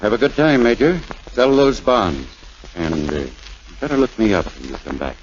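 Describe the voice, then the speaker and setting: An actor playing a grave but kindly senior Army doctor, measured and formal, in 1940s radio drama style. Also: male voice, on the low side, expressive, natural voice. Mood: relenting, kindly. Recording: dull, hissy 1940s radio recording.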